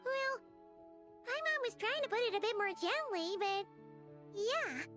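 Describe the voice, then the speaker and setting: Childlike fairy companion for anime game: excitable, caring, squeaky, very animated, extremely high. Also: female voice, measured pace, soft background music, exaggerated voice. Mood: admiring, hesitant.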